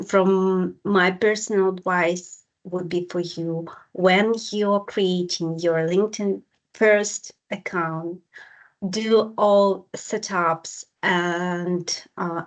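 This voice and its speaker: A woman with a Ukrainian accent hosts an online workshop on a video call. Her voice sits fairly low, and her English is careful and halting, full of small pauses and restarts. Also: steady tone, low-quality recording. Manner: hesitant, many fillers and restarts. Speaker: program manager and workshop moderator.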